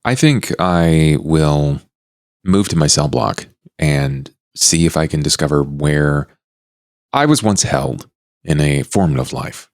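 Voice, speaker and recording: Very deep-voiced man, close and dry. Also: slow pace, no room sound. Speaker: player in a tabletop roleplaying game. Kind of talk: casual in-game talk, stating actions.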